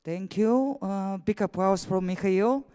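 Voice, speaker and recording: A man with a high-pitched voice moderates a conference session. He speaks halting, effusive English with non-native phrasing. A long hall reverb surrounds his voice. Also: dark tone, clear, resonant tone. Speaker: event moderator.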